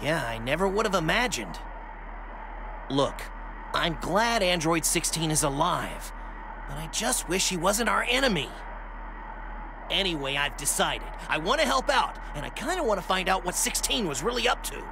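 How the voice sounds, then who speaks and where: A woman's voice: fairly low pitch, sing-song, moderate pace, background noise. An actor voicing a friendly, wry, short male martial-arts sidekick in an anime game, good-natured and slightly nervous. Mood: resolved. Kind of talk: English-dubbed anime game voice acting.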